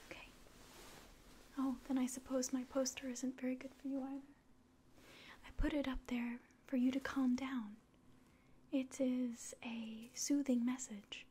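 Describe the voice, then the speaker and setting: A woman in her twenties whispering gently and breathily in an ASMR medical exam roleplay, giving polite, hesitant instructions. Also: sits high. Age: 20s. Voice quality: breathy whisper and very soft speech. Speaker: ASMR roleplayer playing an alien doctor. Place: small indoor room at home.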